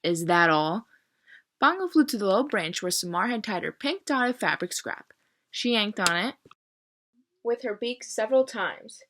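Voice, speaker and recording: A woman teacher giving a read-aloud lesson, narrating a children's novel in an expressive, instructive way. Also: on the high side, moderate pace, slight background noise.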